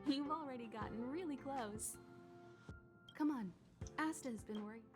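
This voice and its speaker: A woman playing a composed, motherly navigator of a space train in a video game, unhurried and gently teasing. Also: high-pitched. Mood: fond. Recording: music behind the voice.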